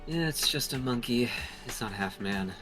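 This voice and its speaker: Animated man chatting over a game. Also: medium pitch, normal speed, rough recording. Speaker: streamer playing a visual novel. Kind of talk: casual game commentary and character reading. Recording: game music behind the voice.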